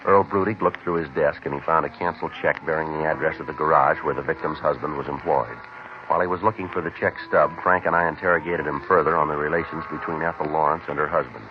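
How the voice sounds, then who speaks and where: A terse police detective in an old radio drama. His low voice moves quickly and matter-of-factly, with clipped politeness. The vintage recording is muffled and quite noisy. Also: fairly even intonation. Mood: factual, clipped. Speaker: detective narrator and lead. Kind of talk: 1950s radio drama, documentary-like scripted narration.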